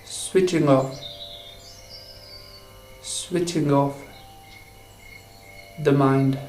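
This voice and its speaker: A man guiding a meditation for relaxation and sleep, speaking in short, halting phrases. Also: mid-range voice, steady tone, darkish tone. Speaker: meditation guide. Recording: roomy echo with faint insect noise.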